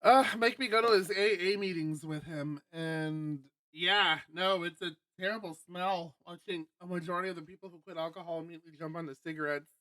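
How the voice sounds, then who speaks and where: A man streamer reading a visual novel aloud and adding chatty, animated asides. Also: sits high, a little fast, few pauses, no room sound.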